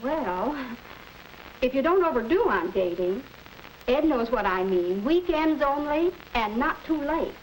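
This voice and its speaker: Cautious American mom, 1940s educational film; highish, measured, motherly, off-mic. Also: sing-song. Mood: mildly cautious. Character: gently cautious mother of teenage boys. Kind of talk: 1940s instructional film acting. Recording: old film soundtrack, distant mic, hiss.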